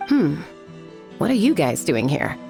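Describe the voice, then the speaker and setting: A woman's voice: low voice, very animated, a little slow, gravelly, over music, full character voice. A woman voicing a laid-back, self-assured young female warrior with a casual, easygoing manner in a video game. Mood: curious.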